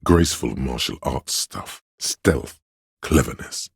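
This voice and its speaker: An actor voicing an erudite, worldly inner voice of knowledge in a video game, narrating facts in a measured, dry, scholarly way. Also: male voice, extremely low pitch, animated, creaky, slightly rough, dry recording, heavily acted. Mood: measured, knowing.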